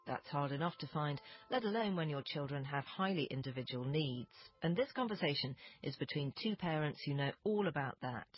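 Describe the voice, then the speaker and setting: A woman's voice: low voice, breathy edge, no echo, highs cut off. A woman radio presenter reading a scripted broadcast introduction.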